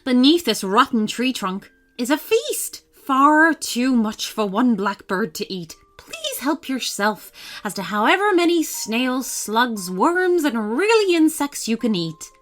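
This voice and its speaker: A woman voicing a generous female blackbird who speaks loudly and gushingly. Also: fairly high pitch, sing-song, slow, few pauses, pitched above the performer's own voice, exaggerated voice. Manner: loud and gushing.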